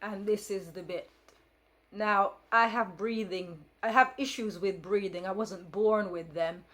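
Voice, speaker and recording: A woman's voice: mid-range voice, a little slow, fairly bright tone. A woman in her fifties whose first language is Jamaican Patois tells a story to camera in a casual, rambling way, with many fillers and restarts and a firm, frank edge. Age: 50s. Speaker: vlogger.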